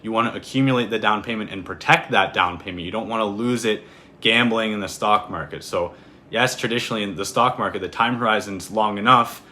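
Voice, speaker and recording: A man in his twenties from Canada, a real estate agent talking through an explainer video in a clear, steady way. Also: on the low side, brisk. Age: 20s.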